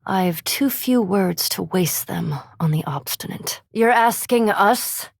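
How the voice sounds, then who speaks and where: An actress voicing a haughty, scholarly elf vampire in a fantasy video game, with formal, precise diction and dry, disdainful sarcasm. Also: female voice, mid-range voice, lively intonation, slow, gravelly, dry recording, heavily acted. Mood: cool, cutting, then wry, sarcastic.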